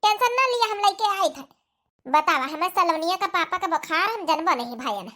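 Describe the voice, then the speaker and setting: A woman's voice: very high voice, expressive, moderate pace, pushed and bright, full character voice. A woman voicing a devoted, fussing village wife, chatty and reassuring, with an Awadhi accent in a comedy cartoon. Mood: concerned, reassuring.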